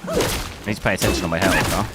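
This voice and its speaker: A man streaming a video game live, talking casually through the settings, with a hoarse voice he says he is losing. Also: low voice, fairly even intonation, racing, over loud music. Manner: thinks aloud casually, bursts into loud dismayed cries. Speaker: gaming streamer. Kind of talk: live gameplay commentary. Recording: noticeable background noise.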